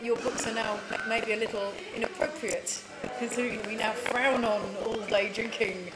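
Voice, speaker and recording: A woman's voice: on the high side, steady tone, moderate pace. A woman on a podcast panel, chatting casually in a pub. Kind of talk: casual chat. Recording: echoey pub with loud music.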